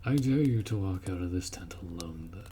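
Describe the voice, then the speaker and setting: A man playing a gruff, dutiful orc warrior in a fantasy roleplay audio drama, blunt and protective as he speaks to the listener. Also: low-pitched, animated, brisk, dark tone, slight background noise. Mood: teasing, blunt.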